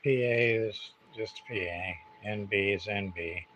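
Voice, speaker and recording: A man's voice: on the low side, unhurried, a bit bright. A man hosting a casual livestream demonstration, thinking aloud in a hesitant, rambling, muttering way. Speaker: livestream host, CB radio repairer. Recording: clear background noise.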